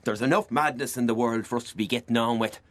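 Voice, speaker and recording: A man's voice: mid-range voice, fast talker, close to an everyday voice. An actor playing a breezy, streetwise Irishman with jaunty, matey patter in a radio play.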